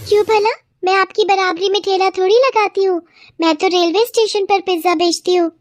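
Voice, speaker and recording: A woman's voice: very high-pitched, fairly even intonation, no room sound, pitched above the performer's own voice. A woman voicing an earnest, pleading young orphan girl in a cartoon moral story. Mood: protesting.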